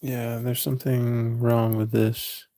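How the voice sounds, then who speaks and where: A slow-talking man with a gravelly, creaky voice murmurs to himself as he draws on a live stream. He picks at his own lines, sometimes whispers, and turns to greet viewers in the chat. The sound is dry and close. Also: mid-range voice, little pitch movement, mellow, dry recording. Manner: mutters self-critical asides to himself, sometimes whispering. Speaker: artist live-streaming a drawing session. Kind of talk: casual running commentary while drawing.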